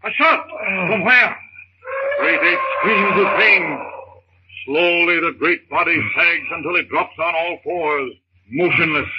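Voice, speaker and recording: A man narrating a 1930s radio adventure serial in a formal, melodramatic, suspenseful style. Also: a little high. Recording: noisy, muffled 1932 radio recording.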